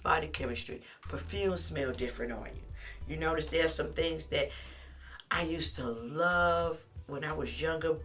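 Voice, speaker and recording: Chatty middle-aged woman with a deep, bright voice. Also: a little slow, faint music behind. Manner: chatty, animated, calls the viewer honey. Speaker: lifestyle vlogger. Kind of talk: casual talk to camera.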